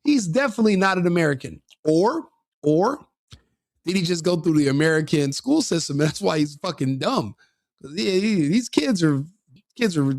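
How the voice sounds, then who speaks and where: A man's voice: a little high, no room sound, studio quality. A man giving crude, mocking commentary on a video, sneering and laughing often.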